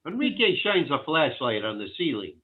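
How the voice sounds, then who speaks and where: High-pitched man narrating, phone-like sound. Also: very animated, normal speed, breathy edge. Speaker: stage-direction narrator. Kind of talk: reading script directions aloud at a table read. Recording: tinny phone-like line.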